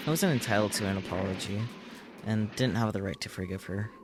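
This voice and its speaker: Low-voiced man reading over music. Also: a little fast. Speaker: streamer reading a game aloud. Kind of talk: live read-aloud of visual novel text.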